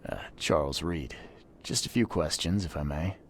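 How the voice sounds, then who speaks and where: A man's voice: very deep voice, moderate pace, a little hiss or hum. A man playing a terse, world-weary private detective in a video game, dry and guarded in manner. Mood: skeptical. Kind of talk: video game cutscene acting.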